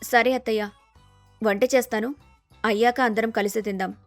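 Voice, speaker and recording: A woman's voice: fairly high pitch, fairly even intonation, moderate pace, dry recording, soft background music, lightly acted. A woman voicing a clever young village daughter-in-law, earnest and respectful but firm, in a story. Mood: calm.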